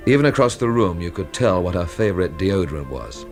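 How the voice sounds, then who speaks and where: A cool, sardonic Englishman in a radio mystery. His voice sits low but swings widely as he delivers dry, scornful wordplay in an English accent, with faint music behind. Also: expressive, fairly quick, a little mellow. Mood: wry, brooding. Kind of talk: radio drama acting with first-person narration.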